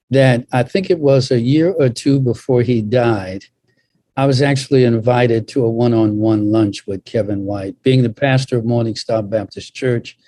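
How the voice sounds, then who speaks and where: Slow, mellow older man reminiscing. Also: medium pitch, no echo. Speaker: pastor on a panel. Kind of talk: reflective storytelling on a panel.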